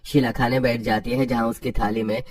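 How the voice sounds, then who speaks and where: A man's voice: medium pitch, level tone, brisk, far from the mic. A man narrating a scripted moral story.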